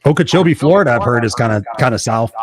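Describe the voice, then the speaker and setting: A man hosting a live motivational show, telling personal stories in an earnest, energetic way. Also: medium pitch, fast, a crowd in the background. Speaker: podcast host.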